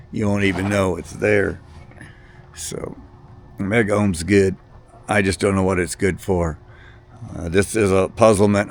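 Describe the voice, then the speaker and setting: A man with a slightly low voice speaks off the cuff to viewers as a hobbyist. His delivery is casual and rambling. He hesitates often, doubling back on words and halting between phrases. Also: a bit bright. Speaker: hobbyist video presenter.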